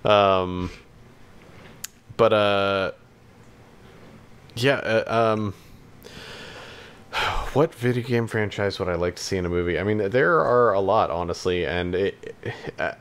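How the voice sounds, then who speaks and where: A man's voice: fairly low pitch, slow, pauses often, a bit bright, clean tone. A man co-hosting a podcast in casual chat, speaking in a hesitant, rambling, hedging way. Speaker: podcast co-host.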